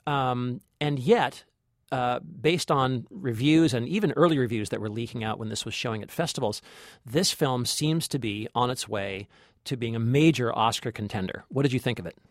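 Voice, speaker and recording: A man's voice: medium pitch, normal speed, no room sound, clean studio sound. Thoughtful man chatting as film critic. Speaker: podcast co-host and film critic. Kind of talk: conversational film review.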